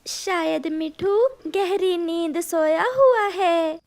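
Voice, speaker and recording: A woman plays a homeless widowed mother bird from a cartoon moral story. Her voice is very high and slow, full of plaintive lament. She pleads like someone in real distress. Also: fairly even intonation, full character voice. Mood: pleading.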